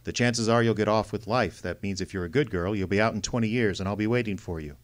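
An actor playing a stern, cynical private detective in an old-time radio drama, terse and deliberate. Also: male voice, on the low side, close to an everyday voice. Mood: cold, resigned. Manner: terse, tough-talking, deliberate. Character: hard-boiled male private detective, stern, cynical, wounded but resolute.

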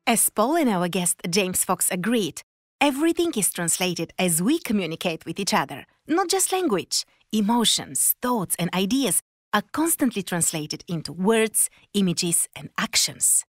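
A woman presenting a podcast in scripted narration, lively and addressing the listener with questions. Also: medium pitch, measured pace, dry recording, clean studio sound. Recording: music playing under voice. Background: music bed.

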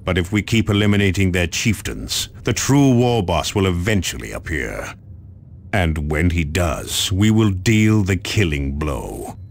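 Stern low-voiced space marine officer. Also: male voice, big pitch swings, gravelly, slight background noise, exaggerated voice. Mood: grim resolve. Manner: solemn, measured military briefing. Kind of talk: video game dramatic briefing.

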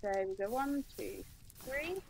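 Woman, bit higher voice, chatty how-to for a game, lots of ums. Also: animated, a little slow, vocal fry, slight background noise. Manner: chatty, explains step by step with fillers. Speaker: game tutorial presenter. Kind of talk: casual screen-recorded tutorial.

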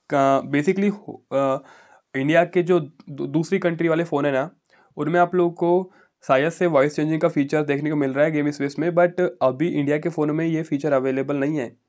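A man's voice: a little high, darkish tone. A man giving a casual phone tutorial voice-over, repeating himself and often checking in with listeners. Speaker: tech YouTuber.

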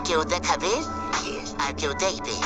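A man's voice: a little high, steady tone, moderate pace. A man delivering a short motivational monologue through a voice-changer filter. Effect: viral voice-changer filter. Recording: loud music over processed clip.

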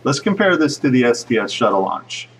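A man giving casual, speculative commentary over footage in a conversational way, with a slightly husky voice. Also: medium pitch, big pitch swings, a little fast, recorded from a distance, very noisy. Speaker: video narrator.

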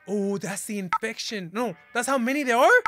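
A young American man with a bright, forward voice reacts casually, full of slang and exclamations. He records at an indoor gaming setup, with music playing behind him. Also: very high voice, lively intonation, normal speed. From California, United States. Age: 20s. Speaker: reaction video host.

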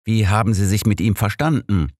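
A man voicing a brisk, impatient, probing elderly detective in an audiobook. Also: lowish voice, a little mellow, no echo, studio quality, voice pushed up, natural voice.

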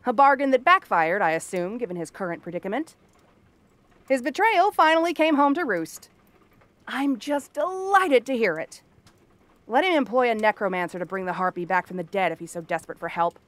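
A woman's voice: on the high side, sing-song, a little fast, a bit bright, dry recording. A woman playing a cranky exiled princess turned frog girl in a fantasy ASMR roleplay, haughty, sarcastic and theatrical. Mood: scornful. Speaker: voice actress.

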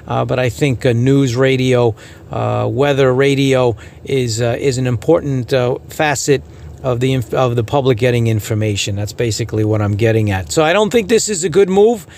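Halting man commentating, slightly high voice. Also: a little mellow. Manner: halting, repetitive, opinionated. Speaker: radio hobbyist host giving a news report. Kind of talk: informal podcast commentary.

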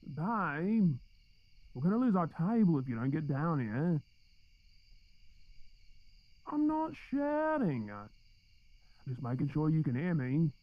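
A man's voice: on the high side, very animated, unhurried, no echo, lo-fi sound. A man with an Australian accent playing an exasperated but tender boyfriend in an intimate, conversational audio roleplay. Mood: impatient.

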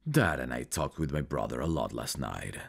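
Man acting a very deep, gravelly flirty guy. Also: expressive, heavily acted. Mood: easygoing, wry.

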